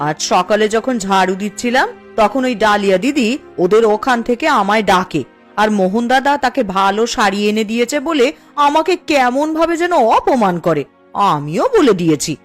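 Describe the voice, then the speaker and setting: A woman's voice: on the high side, animated, normal speed, faint music behind, natural voice. A woman voicing a peevish, competitive village housewife in a cartoon, with a snappish, complaining way of talking. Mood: sulky, demanding. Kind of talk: Bengali cartoon voice acting.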